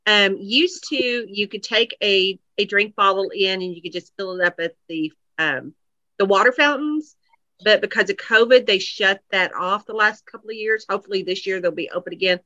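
Bright tense voice, mid pitch, chatty step-by-step advice, dull lo-fi sound. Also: moderate pace, dry recording. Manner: chatty, explains step by step with many ums. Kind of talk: casual advice chat. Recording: dull lo-fi audio.

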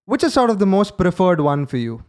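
A man with a notably high voice hosts an online interview. He speaks formally, reading a prepared introduction and posing long, careful questions over a video call. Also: very animated, fairly quick, darkish tone. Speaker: interview host.

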